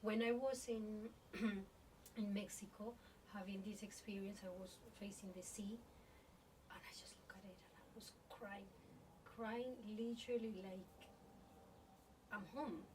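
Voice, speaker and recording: A woman's voice: medium pitch, fairly even intonation, measured pace, distant mic. A woman podcast host talks introspectively, feeling her way through phrases. Her voice sits in the middle range, a little away from the microphone, with faint background noise. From born in Colombia, grew up in Spain. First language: Spanish. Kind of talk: reflective interview conversation.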